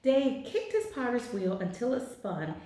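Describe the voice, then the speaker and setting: A woman in her forties reading a children's picture book aloud with deliberate, expressive phrasing in an office. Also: a little high, slight background noise. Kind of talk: children's storybook read-aloud. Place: small indoor office. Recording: very echoey, roomy sound.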